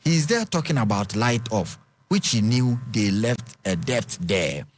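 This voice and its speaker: A man's voice: fairly low pitch, big pitch swings, a little slow, slight background noise. A man with a Ghanaian accent hosting a radio show, reading listener text messages on air and mixing English with Twi. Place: radio studio.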